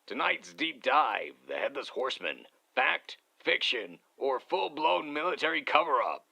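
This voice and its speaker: A man's voice: medium pitch, very animated, unhurried, gravelly, sharp tone, slightly rough, heavily acted. A man playing a conspiracy-minded paranormal show host, delivering punchy, intrigued podcast patter. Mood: eager, conspiratorial.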